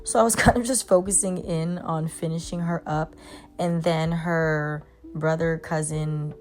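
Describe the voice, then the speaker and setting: A woman's voice: low voice, steady tone, measured pace. A young woman, a mobile pet groomer, chatting casually to her viewers as she works in her grooming van. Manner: chatty, talks to dogs in baby talk. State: congested. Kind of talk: casual vlog narration.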